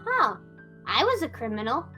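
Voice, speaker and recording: A woman with a bright, somewhat high voice plays a reformed fire mage, hesitant and stumbling over repeated words. Faint music sits behind her. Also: very animated, normal speed. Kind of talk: improvised character roleplay.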